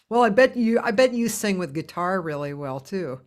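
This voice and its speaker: A woman hosting an interview over a video call, talking in an unhurried way with frequent laughter. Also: medium pitch, animated. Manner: unhurried, warm laughter, trailing pauses. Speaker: host. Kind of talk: casual interview chat.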